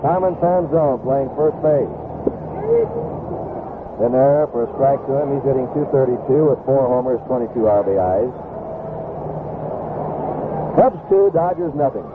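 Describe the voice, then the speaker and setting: A man's voice: medium pitch, lively intonation, unhurried, long pauses. An American man calling baseball play-by-play on the radio, giving counts and statistics in clipped phrases. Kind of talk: live radio sports commentary. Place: outdoor ballpark broadcast position. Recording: tinny, very noisy old radio broadcast.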